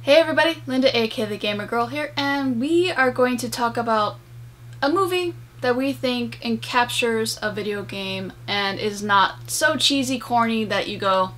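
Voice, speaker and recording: A woman's voice: on the high side, moderate pace, a bit bright. A young adult woman giving a casual, emphatic movie review to camera in a room at home. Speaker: video host and game reviewer. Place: small room at home, indoors.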